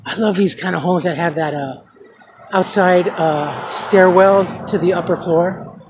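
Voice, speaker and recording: A man's voice: high voice, measured pace, highs cut off. Chatty man on a street walking tour. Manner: chatty and rambling, with fillers. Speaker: vlogger giving a walking tour. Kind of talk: casual walking-tour narration. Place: outdoors on a residential street. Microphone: handheld camera, filming as he walks. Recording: outdoor noise and passing traffic. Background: traffic and street ambience.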